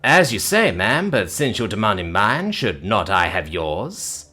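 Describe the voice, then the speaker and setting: A man's voice: fairly low pitch, very animated, unhurried, bright, forceful tone, faint music behind, pitched below the performer's own voice, heavily acted. A man voicing a young father in an audiobook, polite but slyly mocking, with hesitant, deferential phrasing. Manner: hesitant, mock-deferential, oddly worded speech.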